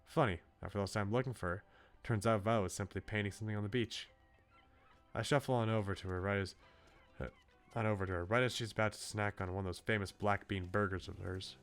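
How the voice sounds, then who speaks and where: A man's voice: fairly low pitch, fairly even intonation, quick pace, a bit bright. A man doing casual livestream commentary, reading a visual novel aloud with playful, dry asides. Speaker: game streamer. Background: game music.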